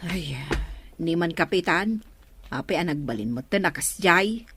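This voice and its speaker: A woman's voice: fairly low pitch, lively intonation, unhurried, a bit bright, breathy edge, no room sound, heavily acted. A woman with an Ilocano accent playing an exasperated, plain-spoken village staffer who scolds and complains in a radio comedy drama. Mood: hurt.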